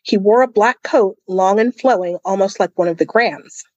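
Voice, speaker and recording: A woman's voice: medium pitch, normal speed, no echo, highs cut off. A woman co-hosting a podcast, casually discussing a book and reading passages aloud. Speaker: podcast co-host.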